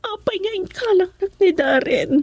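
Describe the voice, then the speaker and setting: An actress playing a heartbroken, tearful young daughter with an Ilocano accent in a radio drama. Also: female voice, very high voice, expressive, normal speed, darkish tone, no room sound. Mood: sorrowful. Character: heartbroken jilted young daughter, grieving and pleading. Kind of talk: Filipino radio drama acting.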